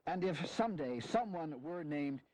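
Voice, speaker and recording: A man's voice: on the high side, expressive, moderate pace, darkish tone, no echo, lightly acted. An actor playing a weary, honourable general in his fifties, grave and dignified, in a historical audio drama. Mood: stern. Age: 50s.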